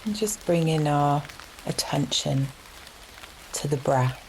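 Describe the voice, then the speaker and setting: A woman leading a guided relaxation meditation in a gentle, soothing manner, leaving long unhurried pauses between phrases. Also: sits low, a little hiss or hum, rough recording. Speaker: yoga nidra meditation guide. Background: steady rain sounds.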